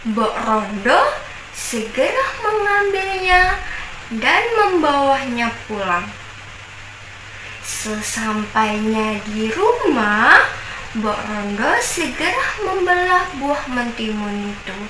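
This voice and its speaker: A young woman telling a folk tale at an unhurried, sing-song pace, her high voice rising and falling with expression. She sounds set back from the mic in an echoey room, with steady noise behind her. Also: recorded from a distance. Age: young adult. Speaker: university student.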